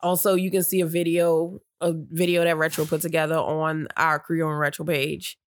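A woman's voice: low-pitched, moderate pace. Chatty woman with a stuffy nose. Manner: chatty, downplays excitement, rambling with restarts. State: stuffy nose, catching her breath. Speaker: podcast co-host. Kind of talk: casual storytelling chat.

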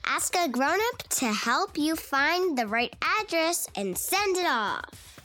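A woman's voice: high voice, big pitch swings, slow, over music. A woman co-hosting a children's podcast in scripted, simple dialogue addressed to kids.

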